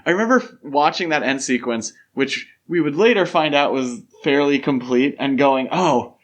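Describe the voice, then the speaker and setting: A man's voice: a little high, moderate pace, highs cut off, a little hiss or hum. A man hosting a podcast, discussing film in a casual but detailed, explanatory way. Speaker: podcast host.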